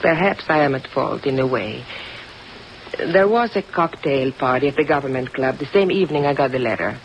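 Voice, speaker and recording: An actress playing a haughty, evasive countess, formal and icily composed, in 1950s radio drama style. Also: female voice, a bass voice, lively intonation, moderate pace. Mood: defensive. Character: haughty, evasive European countess under suspicion. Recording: muffled old radio recording under rain noise. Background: rain.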